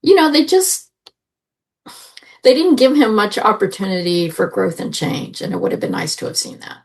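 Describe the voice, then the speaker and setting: A woman's voice: medium pitch, very animated, moderate pace. A woman giving her opinions as a panelist in a casual review chat. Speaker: podcast panelist reviewing audio drama.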